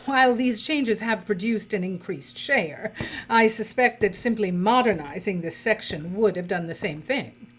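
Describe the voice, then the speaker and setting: A woman's voice: medium pitch, husky, lightly acted. Woman playing a coolly condescending dystopian overseer. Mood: withering condescension. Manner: measured, patronizing, dry. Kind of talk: dark dystopian audio drama acting. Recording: dull audio with faint mains hum.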